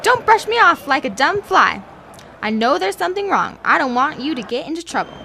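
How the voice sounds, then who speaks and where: A woman's voice: high voice, big pitch swings, a little fast, vocal fry, sharp tone, exaggerated voice. An actress playing a boxer's fearful, devoted girlfriend with an American accent, urgent and melodramatic in 1940s radio style. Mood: desperate pleading. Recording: noisy vintage 1940s radio recording.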